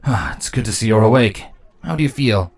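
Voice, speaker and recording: A man voicing a good-natured, loyal pony guard captain with a warm, easygoing manner in a story reading. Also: lowish voice, lively intonation, a little fast, creaky. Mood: relieved. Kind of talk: acted fan fiction dialogue.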